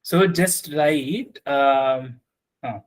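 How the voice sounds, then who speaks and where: A man teaching an online software class over a video call, often checking in with his students, with a slight cough. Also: medium pitch, drawn-out words. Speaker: software trainer.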